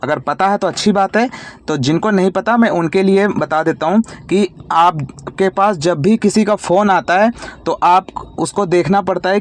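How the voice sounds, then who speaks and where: High-pitched Indian man explaining phone steps. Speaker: tech tutorial host. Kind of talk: informal step-by-step phone tutorial narration.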